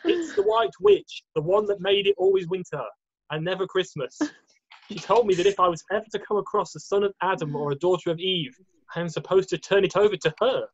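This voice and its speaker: An amateur performer voicing a gentle, nervous male faun with a hesitant, apologetic manner in a screenplay readthrough. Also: mid-range voice, animated, brisk, gravelly, husky, lo-fi sound. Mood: fearful, urgent. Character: nervous, guilt-ridden, gentle male faun, kindly host.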